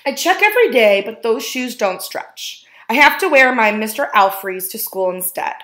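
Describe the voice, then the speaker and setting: A woman reading a children's picture book aloud as a storyteller, expressively and with deliberate emphasis on key words. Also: a little high, expressive, fairly bright tone. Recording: roomy, echoing indoor sound.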